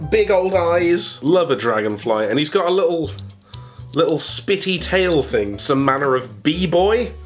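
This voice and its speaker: A man with a stuffy, nasal cold, chatting jokily as he unboxes toys for his channel. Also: a little high. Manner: chatty, jokey, self-amused. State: congested, nasally. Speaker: toy unboxing host. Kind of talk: casual unboxing chat.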